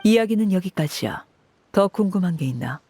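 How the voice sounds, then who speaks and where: Brief: stern, cold matriarch called Father, low husky voice, calm and commanding. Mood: wistful. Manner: cool, measured, commanding. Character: stern woman who leads an orphan house as its cold, calm "Father". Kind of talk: game voice acting.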